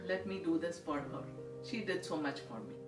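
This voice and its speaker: A woman's voice: fairly low pitch, normal speed, music in the background. Earnest middle-aged mother, echoey. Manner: earnest, restarts mid-sentence. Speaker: interviewee, mother. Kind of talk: interview. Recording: echoey room, distant mic.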